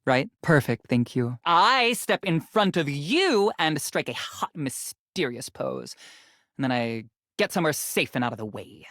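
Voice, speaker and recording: A woman's voice: sits low, very animated, a little slow, fairly bright tone, no echo, clean studio sound, heavily acted. A woman voicing a vain, snarky young female influencer character in a video game, self-absorbed and full of social media speak. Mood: vain, showy. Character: vain sassy young female influencer lamp, fame-obsessed.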